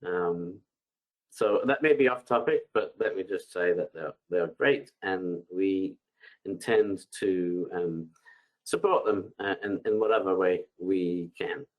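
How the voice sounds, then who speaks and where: Deep-voiced guy, all bunged up with a cold, on a headset in a home office, reading out questions and um-ing through the answers. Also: slow, poor audio. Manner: relaxed and hesitant, many ums, reads questions aloud then answers. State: congested from a bad cold. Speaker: product expert answering webinar questions. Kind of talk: live webinar Q&A, unscripted. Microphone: headset on a video call.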